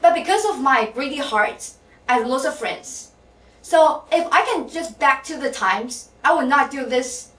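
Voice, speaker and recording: High-pitched teen girl doing a contest speech, lots of um and uh, echoey hall. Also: animated, unhurried, fairly bright tone. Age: teens. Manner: confessional, with fillers like um and uh. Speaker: student contestant. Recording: echoey hall, mic at a distance.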